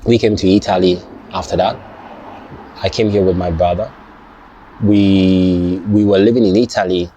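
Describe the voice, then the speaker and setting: A young man, not a native English speaker, talking casually to his viewers, halting and restarting often and checking "you understand?". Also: sits low, steady tone, mellow, highs cut off, a little hiss or hum. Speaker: vlogger. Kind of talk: casual personal talk to camera.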